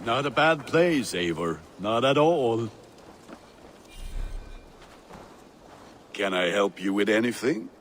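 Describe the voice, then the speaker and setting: A man's voice: mid-range voice, big pitch swings, normal speed, a bit bright. A man playing a hearty, wistful Viking blacksmith in a video game, folksy and savouring his words. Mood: welcoming. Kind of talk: dramatic video game acting. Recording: faint ambient noise. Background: ambient noise.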